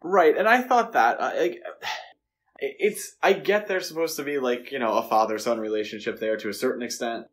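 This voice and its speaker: A man's voice: medium pitch, normal speed, fairly bright tone. A man co-hosting a podcast, talking casually and giving his opinions on films in a conversational way. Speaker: podcast co-host. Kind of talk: casual film discussion.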